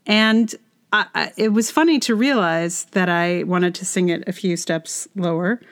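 A woman's voice: lowish voice, unhurried. A middle-aged woman hosting a podcast, chatting casually on her own in a wry, self-deprecating way. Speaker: podcast host and musician. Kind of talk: casual solo podcast monologue.

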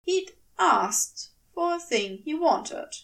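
A woman narrates a story in a high, animated voice. She takes her time over each phrase, reading expressively from a script, and sounds a little away from the microphone. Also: slow pace, gravelly, far from the mic. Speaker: fan fiction podfic reader.

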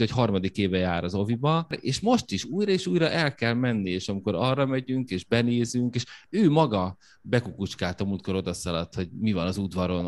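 Man, low voice, podcast host, reflective, conversational. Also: a little mellow. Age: young adult. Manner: reflective, thinks aloud with restarts. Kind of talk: conversational interview.

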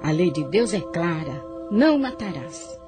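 Guardian angel played by a woman, solemn preaching, lively swooping intonation, formal speech, radio drama, music behind. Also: on the low side, a little slow. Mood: solemn, admonishing. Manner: solemn, preaching, formal old-fashioned address. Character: solemn protective female spirit guide, guardian angel. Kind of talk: religious radio drama acting. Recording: music under the voice.

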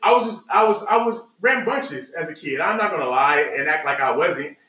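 A man's voice: fairly high pitch, animated, brisk, pushed and bright. An adult man casually telling family stories, candid and repeating himself as he goes. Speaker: podcast host. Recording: roomy echo, slightly distant mic.

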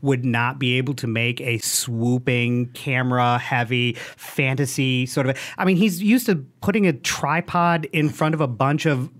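A man co-hosting a casual movie podcast, ranting sarcastically with animated jokes. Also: medium pitch, a little slow, few pauses. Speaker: podcast co-host.

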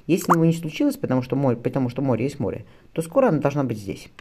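Man playing a jovial, animated admiral husband. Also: mid-range voice, rapid-fire, slight background noise, close to an everyday voice. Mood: reassuring, cheerful. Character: jovial, reassuring admiral husband in historical novel.

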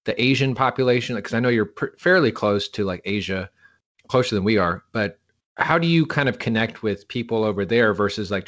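A man's voice: fairly low pitch, a bit bright. A man from the East Coast of the United States hosting an interview in a laid-back, friendly way, calling his guest "man". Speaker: podcast host. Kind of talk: casual interview chat.

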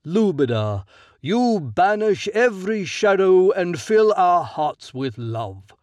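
A man's voice: high-pitched, big pitch swings, takes it slowly, a little mellow, no echo, voice pushed up, exaggerated voice. A man voicing an earnest, pious young male count with a cherubic, devout manner and a solemn, sing-song way of praying. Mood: reverent.

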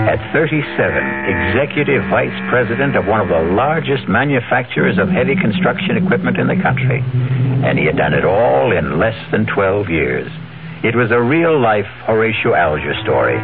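A man's voice: fairly low pitch, narrow, tinny audio. A man hosting and narrating a radio mystery drama with dramatic scripted delivery. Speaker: host and narrator. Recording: thin radio broadcast, music behind.

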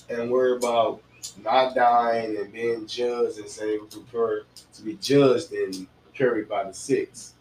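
A man's voice: medium pitch, slight background noise. A man hosting a casual late-night talk in a slangy, preachy way. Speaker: host. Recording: roomy, distant mic, music behind.

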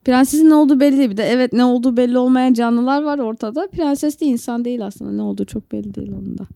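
A woman's voice: on the high side, very fast, gravelly, dark tone, dry recording, clean studio sound. A woman, co-hosting a podcast in casual, opinionated chat. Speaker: podcast co-host.

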